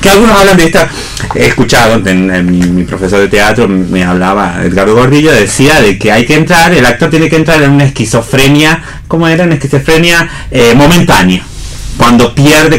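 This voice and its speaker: A man's voice: mid-range voice, very animated, a little fast, fairly bright tone. A man with an Argentine accent leads a casual round-table on the radio, rephrasing as he goes and posing questions to the group. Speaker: host and actor leading a panel discussion. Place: radio programme with background ambience.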